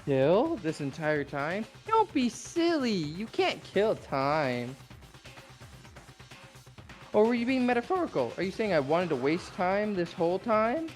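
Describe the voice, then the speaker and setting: A woman's voice: mid-range voice, big pitch swings, measured pace, darkish tone, soft background music. A woman voicing a smug, mocking, murderous robot teddy bear mascot from a visual novel, mock-cheerful and taunting. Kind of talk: amateur dramatic reading of a visual novel.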